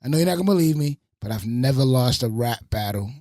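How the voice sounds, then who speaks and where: A man from the Bronx and Fayetteville, a radio host and producer, telling animated stories on a podcast and swearing freely. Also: mid-range voice, fast, no echo, studio quality. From Bronx, New York and Fayetteville, North Carolina. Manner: animated storyteller with a lot of swearing. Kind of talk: casual podcast storytelling.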